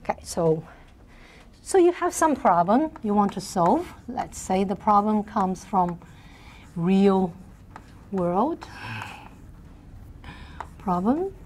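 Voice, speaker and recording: A soft-spoken middle-aged woman lecturing on math in a large hall, often checking in with her class. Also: medium pitch, very animated, takes it slowly, long pauses, a little hiss or hum. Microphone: lapel mic.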